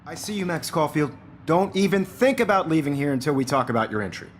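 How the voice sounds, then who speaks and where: An actor playing a middle-aged American photography teacher in a video game, smug, theatrical and predatory. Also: male voice, a little high, quick pace, a little hiss or hum, natural voice. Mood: creepy, menacing. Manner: theatrical, lecturing.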